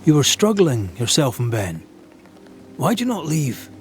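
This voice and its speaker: An actor playing a compassionate, steady ghost-hunter who asks measured questions in old-fashioned phrasing in a game drama. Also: male voice, on the high side, a little hiss or hum. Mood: gently urging. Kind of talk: dramatic video game cutscene acting.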